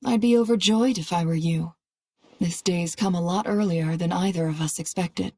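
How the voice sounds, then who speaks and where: A woman playing a composed, proud leader of a secret organization, speaking in a commanding, measured, wry way in a game cutscene. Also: fairly low pitch, a little mellow, breathy edge, no echo, clean studio sound. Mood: solemn, firm. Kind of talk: dramatic game cutscene acting.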